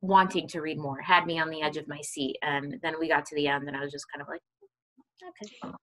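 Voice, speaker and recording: A woman's voice: deep voice, flat delivery, fairly quick, fairly bright tone, low-quality recording. A woman co-hosting a casual book discussion, thinking aloud and repeating phrases as she talks. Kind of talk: casual chat.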